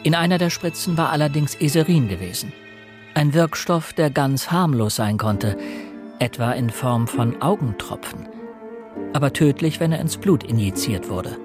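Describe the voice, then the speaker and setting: A man playing an earnest young fiancé turned amateur sleuth in a radio play, measured and inquiring as he acts and narrates. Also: medium pitch, animated, music in the background, natural voice. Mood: reflective narration.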